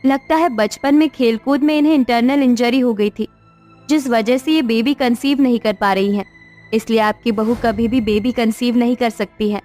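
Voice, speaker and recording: A woman's voice: on the high side, steady tone, moderate pace, a little mellow, soft background music, natural voice. A woman voicing a formal, clinical doctor in a cartoon story. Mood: matter-of-fact. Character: matter-of-fact doctor delivering a diagnosis. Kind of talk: Hindi cartoon story dialogue.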